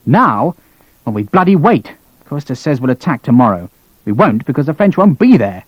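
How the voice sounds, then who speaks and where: Man playing an enraged, cursing army colonel, swooping pitch, dry and dull-sounding. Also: very animated, normal speed, slightly rough, dry recording. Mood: bitter. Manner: ranting, shouting, cursing. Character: furious, exhausted British cavalry colonel, swearing.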